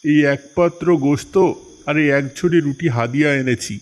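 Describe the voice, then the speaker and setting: Man acting a courteous neighbour, slightly high voice. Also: normal speed, close to an everyday voice. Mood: polite, friendly. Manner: polite, deferential. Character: courteous, generous male neighbour bearing gifts. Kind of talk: animated cartoon dialogue. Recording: music behind the voice.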